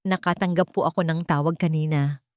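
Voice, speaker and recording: A woman's voice: low voice, steady tone, fairly quick, a little mellow, dry recording, studio quality, lightly acted. Woman playing a timid, meek young housemaid. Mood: shy, respectful. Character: shy, nervous young housemaid, respectful and meek.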